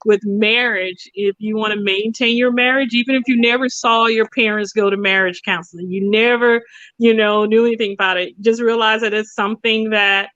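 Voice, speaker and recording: A woman's voice: mid-range voice, fairly even intonation, moderate pace, a bit bright, no echo, highs cut off. A woman talking conversationally and explaining things emphatically through anecdotes and analogies.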